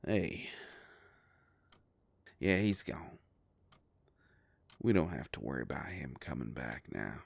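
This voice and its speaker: A very deep, gravelly male werewolf private eye, acted by a man for an intimate audio drama. He talks slowly in a folksy, halting way, gruff but protective, with a gently teasing streak. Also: very animated, no room sound, exaggerated voice. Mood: weary, easygoing.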